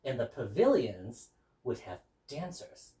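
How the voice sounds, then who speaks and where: A man from Burma hosting guests at his home, casually explaining a festival with a lively storytelling manner. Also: mid-range voice, a little slow. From Mandalay, Burma. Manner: lively storyteller, laughs while telling. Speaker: host. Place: home in Brooklyn.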